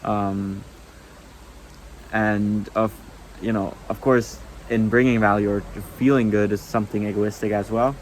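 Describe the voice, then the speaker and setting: A man's voice: fairly low pitch, fairly even intonation, unhurried, a little hiss or hum, rough recording. A young man with a German accent, a German native speaker, talking casually to camera with many ums and restarts. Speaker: vlogger.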